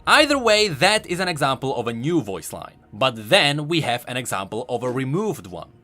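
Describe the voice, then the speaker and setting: A man's voice: medium pitch, sing-song, moderate pace, bright, forceful tone. A man giving emphatic, explanatory scripted commentary on video game lore as an online host. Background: background music.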